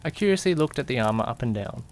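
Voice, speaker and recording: A man's voice: mid-range voice, animated, quick pace, darkish tone, lightly acted. A man playing a curious, jittery young detective's companion who narrates a mystery audio drama with suspense and questioning asides. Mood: puzzled, uneasy. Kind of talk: amateur audio drama narration in first person.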